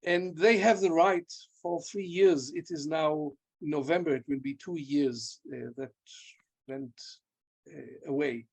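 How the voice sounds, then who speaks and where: Older male lecturer, Hebrew speaker, fairly high gravelly voice, halting delivery, home study webcam. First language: Hebrew and German. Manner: halting, repeats and restarts words. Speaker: guest lecturer. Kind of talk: Q&A after a lecture. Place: home study lined with bookshelves. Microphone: video call, laptop webcam.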